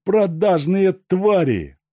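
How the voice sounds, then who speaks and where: Cornered thug, high and strained, begging and snarling by turns; male narrator puts him on. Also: sing-song, takes it slowly, mellow, dry recording, full character voice. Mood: threatening. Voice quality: strained, raised and pleading. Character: desperate captured male robber, pleading then menacing. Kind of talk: audiobook character voice.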